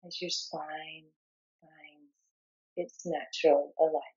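A woman leading a guided meditation, giving gentle, soothing instructions in short, unhurried phrases with long pauses. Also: low voice, level tone, slightly rough, lo-fi sound.

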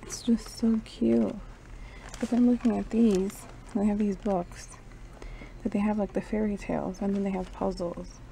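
Chatty young woman, gravelly voice. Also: medium pitch, flat delivery, measured pace, mellow, a little hiss or hum, poor audio. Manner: chatty, thinking aloud, hedging with "I don't know". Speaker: travel vlogger. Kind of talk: casual vlog narration. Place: shops and mall, indoors. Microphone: handheld camera at arm's length.

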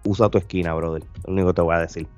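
A mellow-voiced man hosts a casual podcast. His voice sits at a middling pitch, and he talks conversationally, repeating phrases for emphasis. The sound is close and dry, with faint music behind him. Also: fairly even intonation, rapid-fire, no echo. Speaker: podcast host. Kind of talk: casual reaction podcast chat. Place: indoor room, remote video call. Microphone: close desk podcast microphone with headphones.

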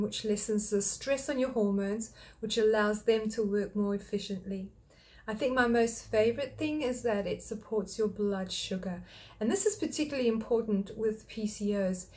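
A woman's voice: on the high side, darkish tone. Friendly older woman explaining health tips. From Australia. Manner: friendly, explanatory, lists points one by one. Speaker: thyroid clinic practitioner. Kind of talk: direct-to-camera health talk. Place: small home office indoors.

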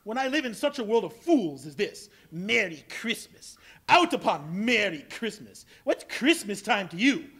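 A man playing a husky scornful old miser. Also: sits high, big pitch swings, moderate pace, fairly bright tone, full character voice. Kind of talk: live stage reading. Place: large hall with audience.

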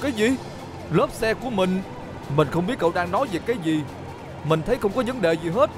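A man's voice: high-pitched, lively intonation, a little fast, over music. A man voicing a busy, stubborn dump truck in a children's cartoon, with a southern Vietnamese accent and an exclamatory delivery. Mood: puzzled, dismissive.

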